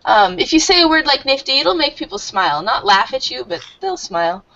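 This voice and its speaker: Woman teacher, higher pitch, explains slowly and warmly, dull lo-fi online call audio. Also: very animated. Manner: friendly, explains slowly with fillers. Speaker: English teacher.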